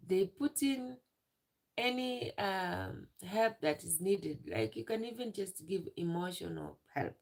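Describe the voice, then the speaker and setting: A young woman on a live stream, tearful and emotional, talking in halting phrases and repeating herself. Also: fairly low pitch, gravelly, darkish tone. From Uganda. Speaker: livestreamer.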